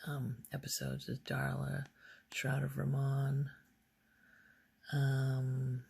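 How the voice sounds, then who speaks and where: A woman speaking softly in an ASMR ramble, breathy and near a whisper at times, musing hesitantly as she thinks aloud. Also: extremely low pitch, flat delivery, extremely slow. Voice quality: soft, breathy, often slipping toward a whisper. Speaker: ASMR video creator. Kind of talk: soft-spoken ASMR ramble.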